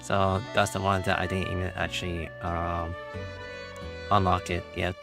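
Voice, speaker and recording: Deep, rough-voiced male game streamer. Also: flat delivery. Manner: halting, rambling. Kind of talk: casual livestream chat. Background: game music.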